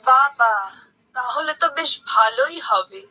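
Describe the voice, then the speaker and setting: A woman's voice: high voice, animated, unhurried, pushed and bright, slight background noise, heavily acted, poor audio. A woman playing a questioning village housewife in a cartoon. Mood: pleased. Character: suspicious village housewife, shopkeeper's wife. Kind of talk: cartoon character acting.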